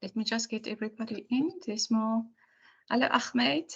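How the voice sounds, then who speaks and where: Hoarse croaky woman lecturing online. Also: on the high side, steady tone, moderate pace, fairly bright tone, muffled recording. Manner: chatty, rambling, apologetic. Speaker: university lecturer. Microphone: video call.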